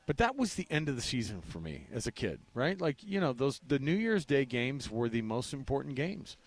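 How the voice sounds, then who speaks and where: An American man co-hosting a sports radio show in casual talk, animated and repeating phrases for emphasis, often with rhetorical questions. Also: mid-range voice, moderate pace.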